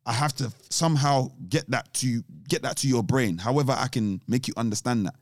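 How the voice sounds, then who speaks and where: A man's voice: medium pitch, a little fast, dry recording, clean studio sound. A young man co-hosting a podcast, talking casually and explaining his views at length with restarts. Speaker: podcast co-host. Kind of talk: casual chat.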